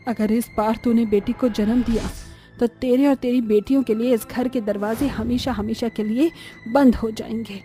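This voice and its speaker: A woman's voice: on the high side, fairly even intonation, normal speed, dark tone, soft background music, natural voice. A woman voicing a cruel, taunting elderly mother-in-law who scolds harshly in a family story cartoon. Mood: furious, threatening. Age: older.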